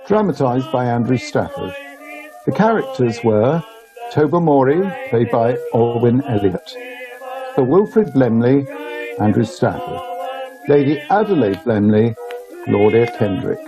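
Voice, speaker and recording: An actor playing a pedantic, solemn country-house gentleman in an amateur radio play, speaking in formal, measured phrases. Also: medium pitch, poor audio. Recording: music behind, home online recording.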